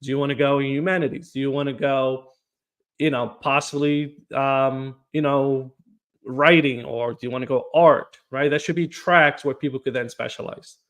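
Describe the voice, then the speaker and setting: A man's voice: mid-range voice, fairly even intonation, normal speed, fairly bright tone. A man from Portugal, a political candidate answering interview questions in an earnest way, often repeating words as he starts a phrase. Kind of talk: podcast interview.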